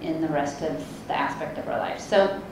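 A woman moderating a meditation panel, talking slowly and gently with many fillers as she guides and introduces speakers. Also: low-pitched, vocal fry. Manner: calm, slow, gentle, hesitant with fillers. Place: conference room with a panel table. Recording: very echoey room, distant mic, hiss.

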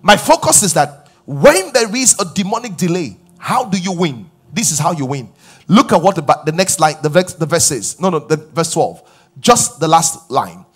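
Pastor, man in his forties, husky, pitch leaps around while preaching on a big stage, faint music under. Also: moderate pace. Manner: animated preaching, rising loud on key points, asking the crowd questions. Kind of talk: sermon. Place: large church stage with live audience.

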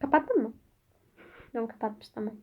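High-voiced woman hosting a casual game. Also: normal speed, phone-quality sound, slight background noise. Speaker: vlog host quizzing a child. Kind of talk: casual game chat addressed to viewers.

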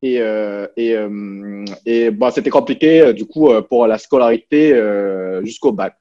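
A man's voice: on the low side, unhurried, dark tone. Hesitant man on a dull video call. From French Guiana. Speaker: interview guest, entrepreneur. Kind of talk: casual interview talk. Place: small plain room indoors.

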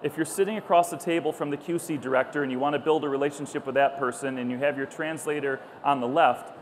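A man's voice: fairly high pitch, quick pace. A middle-aged man giving a conversational public talk full of anecdotes, speaking into a lapel mic on a stage. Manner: conversational, animated storytelling, acts out dialogue. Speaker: company founder, conference speaker. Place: stage at a busy trade show hall. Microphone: clipped lapel mic. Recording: clear background noise of event hall.

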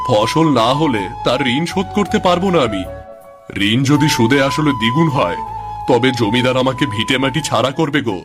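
A man's voice: medium pitch, lively intonation, moderate pace, over music, lightly acted. Man playing a plaintive henpecked village farmer. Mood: dismayed. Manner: plaintive, folksy lamenting. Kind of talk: cartoon voice acting.

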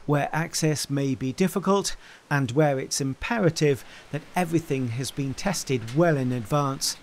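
A man reads a scripted documentary narration in an even, informative manner. His voice sits a little high for a man, and faint noise hangs under the recording.

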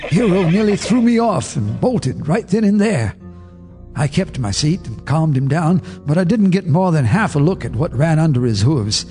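An actor narrating a radio drama as an older farmer telling his memories in a measured, folksy way. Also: mid-range voice, warm tone, natural voice. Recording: reverberant radio drama with music.

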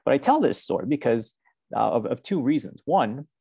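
An American man in his forties giving a measured, explanatory academic lecture over a video call. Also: medium pitch, sing-song, creaky, warm tone, breathy edge, no room sound, clean studio sound. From United States. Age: 40s. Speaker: university professor giving a research seminar talk. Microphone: video call microphone, seated close to webcam.

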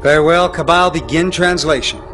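A man's voice: a little high, normal speed, over music, natural voice. A middle-aged man playing a stern, commanding general in a video game cutscene, clipped and authoritative. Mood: stern, measured.

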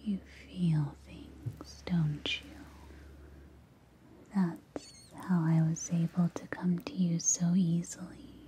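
A seductive forest witch, played by a woman whispers right up against the mic in an ASMR roleplay. Her voice is dark and breathy, and she lingers over her words with long, hypnotic pauses, possessive yet soothing. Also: on the low side, little pitch movement. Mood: tender, intimate. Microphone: up close to the microphone.

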